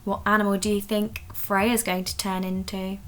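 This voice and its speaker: A woman reading a children's story aloud, expressive and dramatic, speaking directly to young listeners. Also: medium pitch, normal speed. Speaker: story reader at a primary school.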